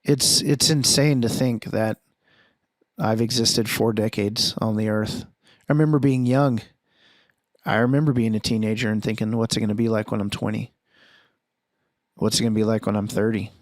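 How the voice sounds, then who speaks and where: A man's voice: lowish voice, fairly even intonation, normal speed, pauses often, no room sound, studio quality. A forty-year-old man hosting a podcast alone, reflecting earnestly and thinking aloud, with frequent restarts and questions to himself. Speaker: podcast host. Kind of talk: reflective solo monologue.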